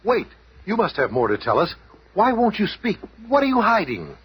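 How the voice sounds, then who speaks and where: A man's voice: high voice, huge pitch swings, moderate pace. An actor playing an assured, articulate male scientist hero in a 1970s radio drama. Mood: questioning. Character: calm, confident male scientist hero leader. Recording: noisy old radio recording.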